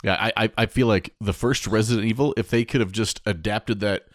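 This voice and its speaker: A man's voice: on the low side, steady tone, brisk, no room sound, clean studio sound. A man co-hosting a podcast, talking in a casual conversational style. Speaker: podcast co-host.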